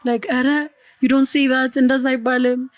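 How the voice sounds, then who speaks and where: Podcast guest, Amharic-young woman, high pitch, laughs a lot. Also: moderate pace, no echo. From Ethiopia. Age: 20s. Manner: lively, laughs often, many "like" fillers. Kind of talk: casual interview chat.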